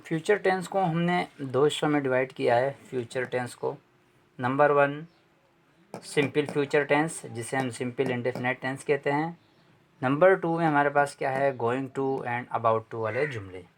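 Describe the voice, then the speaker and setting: A man's voice: medium pitch, a little slow, low-quality recording. A man teaching English grammar in a lecture, explaining patiently, repeating points and asking rhetorical questions.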